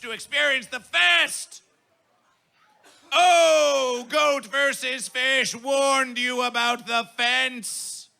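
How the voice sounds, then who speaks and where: A very high-pitched, piercingly bright male festival emcee proclaims everything in a grandiose, chanting way. His delivery is very slow and drawn out, theatrical and repetitive, on a live stage PA with music behind. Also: creaky. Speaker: festival master of ceremonies.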